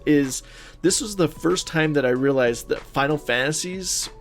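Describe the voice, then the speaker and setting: A man with a slightly high voice chats as a podcast co-host. His delivery is informal and halting, working through his thoughts as he goes. There is faint music in the background. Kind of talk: casual chat about movies and video games.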